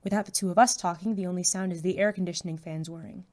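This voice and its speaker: A woman's voice: on the low side, steady tone, fast, a little mellow, lightly acted. A woman playing a young superhero sidekick in an audio drama, nervous but eager, speaking dialogue and first-person narration. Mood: tense, hushed.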